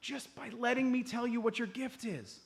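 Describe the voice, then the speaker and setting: A man acting an excitable, high-pitched detective. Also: moderate pace, vocal fry. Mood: eager, pleading. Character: eager, self-assured male amateur detective saving Christmas. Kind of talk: live comedy radio play acting. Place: live on a comedy club stage.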